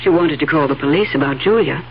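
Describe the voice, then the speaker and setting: A woman's voice: deep voice, fast. An actress playing a greedy, wary woman conspiring over money in a 1970s radio drama, with a probing, urgent manner. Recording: dull old radio recording, faint hum.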